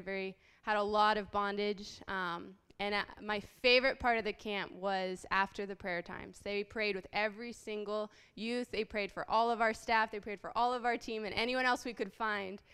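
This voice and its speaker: A woman's voice: mid-range voice, a bit bright, smooth. A woman in her late twenties, from Haiti, telling a heartfelt personal testimony as a guest speaker, earnest and full of small ums. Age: late 20s.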